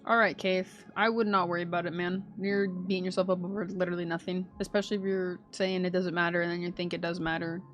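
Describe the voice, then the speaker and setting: A woman's voice: on the low side, flat delivery, fast, hardly any pauses. A woman game streamer giving casual, chatty live reactions in an informal way. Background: game audio and music.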